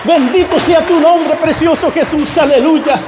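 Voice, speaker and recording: A man's voice: very high voice, measured pace, a crowd in the background, noisy background. A man preaching a fervent sermon, exhorting the congregation directly and circling back over his phrases. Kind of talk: Pentecostal-style sermon. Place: large reverberant room, church service. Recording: echoey hall with long reverb.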